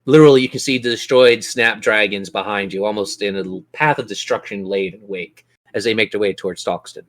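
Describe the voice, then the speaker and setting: A man's voice: lowish voice, steady tone, brisk. A man narrating a tabletop role-playing game as game master, with theatrical, descriptive storytelling.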